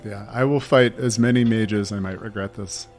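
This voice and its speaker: A man's voice: fairly low pitch, fairly even intonation, moderate pace, soft background music. A man talking casually as a player on a podcast panel. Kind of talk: casual chat.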